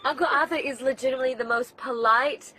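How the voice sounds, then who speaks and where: A woman's voice: sits high, quick pace, bright, forceful tone, slight background noise. A woman radio host chatting in a bubbly, effusive way with a guest. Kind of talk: lively on-air chat.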